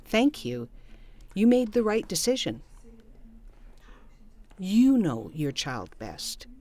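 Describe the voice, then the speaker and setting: A woman's voice: medium pitch, big pitch swings, slow pace, mellow, no echo. A woman giving encouraging, emphatic advice to parents. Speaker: interviewee.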